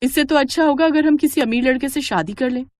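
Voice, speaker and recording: A woman's voice: sits high, fast talker, dry recording, voice pushed up, close to an everyday voice. A woman voicing callous, selfish, scheming young daughters in a moral story cartoon, with a dismissive, scornful manner. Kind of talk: animated moral story dialogue.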